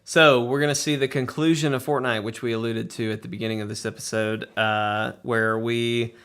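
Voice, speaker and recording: A man's voice: medium pitch, flat delivery, moderate pace, fairly bright tone, clear, resonant tone. A man hosting a casual podcast with a jokey, playful way of talking. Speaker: podcast host.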